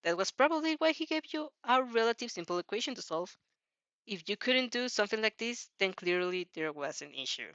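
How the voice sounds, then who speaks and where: A woman's voice: fairly high pitch, expressive, fairly quick, a bit bright, no echo, dull audio. A woman informally narrating fan fiction, giggly, often stumbling and rereading phrases as she goes. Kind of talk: informal story narration with reactions.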